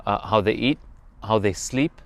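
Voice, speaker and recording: A man's voice: on the low side, normal speed, creaky, fairly bright tone. A man from Zambia hosting a casual interview, speaking in an agreeable, affirming way as he shares thoughts and leads into questions.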